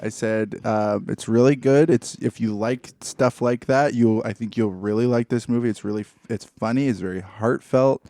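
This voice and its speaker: A man's voice: medium pitch, normal speed, few pauses, dark tone, no echo. A man, middle-aged, chatting casually and telling anecdotes with a wry, mock-formal turn of phrase, as a podcast co-host.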